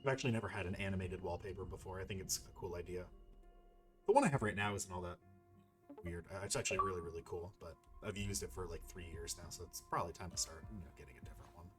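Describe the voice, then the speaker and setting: A man with a fairly low voice commentates casually on a video game as its host. He thinks aloud in a loose, rambling way, with music clearly playing behind him. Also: fast, a bit bright. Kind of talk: casual let's play commentary.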